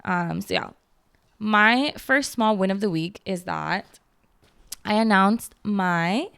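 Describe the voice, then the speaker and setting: A woman's voice: medium pitch, gravelly, smooth, dry recording. A woman in her thirties from the Philippines with a light Filipino accent, hosting a casual solo podcast in a chatty way full of "like" and "um". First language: Filipino language. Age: 30s. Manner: chatty, lots of "like" and "um", laughs at herself. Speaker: podcast host.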